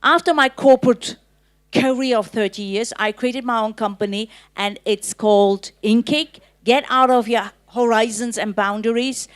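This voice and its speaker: A woman in her fifties speaks earnestly and reflectively, giving a pledge to a panel audience. Her voice sits fairly high. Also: fairly even intonation, normal speed, clear, resonant tone.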